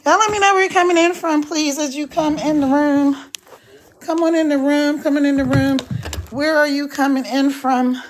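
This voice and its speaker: An older woman with a scratchy, hoarse voice, chatting folksily to viewers of her phone live stream. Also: sits high, steady tone, normal speed. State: hoarse after two hours of talking. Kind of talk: casual live-stream chat.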